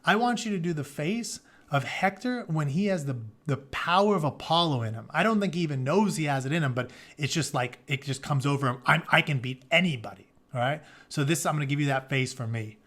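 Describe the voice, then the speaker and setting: A man with a somewhat high-pitched voice works as a teacher reading aloud. His storytelling is animated and dramatic, mixed with informal, colloquial explanations for his students. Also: fairly quick, few pauses. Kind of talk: dramatic read-aloud with casual explanatory asides.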